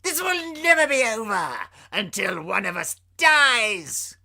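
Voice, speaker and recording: A man voicing a maniacal, gleeful clown villain in a comic-book story, taunting and theatrical with drawn-out words. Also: high-pitched, big pitch swings, creaky, bright, forceful tone, voice pushed up, exaggerated voice. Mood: maniacal, menacing.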